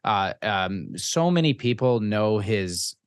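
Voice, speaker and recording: A man's voice: lowish voice, very animated, slow, smooth, no room sound, clean studio sound. A man hosting a podcast interview in a conversational way, earnest and appreciative toward others. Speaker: podcast host.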